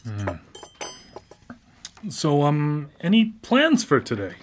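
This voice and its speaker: A man's voice: a little high, wildly swooping pitch, takes it slowly, heavily acted. A man in a live radio drama playing a recently blind former engineer, hesitant and stammering with a wry, self-deprecating humor. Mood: awkward, wry. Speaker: lead character in a radio drama. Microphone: studio microphone.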